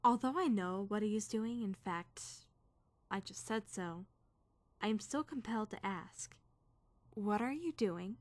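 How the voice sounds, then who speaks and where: A woman's voice: medium pitch, a little slow, a little mellow, dry recording, close to an everyday voice. A woman voicing an earnest, indignant teenage girl student who is rigidly rule-bound, speaking formally and emphatically in a dramatic visual novel fan dub. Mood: wary, reflective. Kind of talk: dramatic first-person visual novel narration and dialogue.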